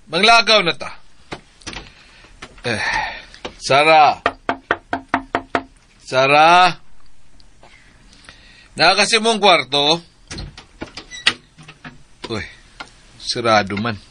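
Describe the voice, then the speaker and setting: A man's voice: fairly high pitch, very animated, drawn-out words, long pauses, bright, forceful tone, slight background noise, exaggerated voice. A man playing an impatient young man in a radio drama. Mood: calling, impatient.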